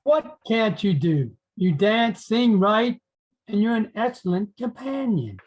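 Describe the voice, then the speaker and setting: A man playing a devoted, admiring gentleman poet in an online play reading, gentle and formal. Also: high voice, big pitch swings, slow, full character voice, low-quality recording. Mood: tender, admiring.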